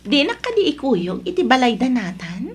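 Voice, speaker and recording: A woman's voice: a little high, very animated, moderate pace, breathy edge. A woman acting a jealous, demanding wife in an Ilocano family drama, accusing and confrontational. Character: jealous, demanding, suspicious wife named Jinky.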